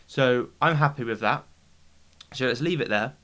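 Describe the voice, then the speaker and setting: Man giving a casual software tutorial. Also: mid-range voice, moderate pace. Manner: instructional, checks in with short tag questions. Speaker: video editing tutorial host.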